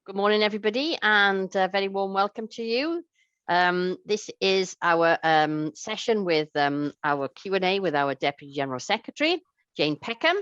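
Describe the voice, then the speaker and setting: A woman's voice: medium pitch, animated, normal speed, a bit bright, clean tone, no room sound, highs cut off. A Welsh woman chairing an online conference session, chatty and hesitant with many fillers. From Wales.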